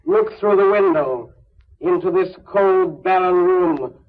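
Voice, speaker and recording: A man's voice: high-pitched, slow. A man playing a solemn, ethereal, ageless spirit, part child and part old man, in an old-time radio drama. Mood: grave, probing. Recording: dull, faintly noisy old radio recording.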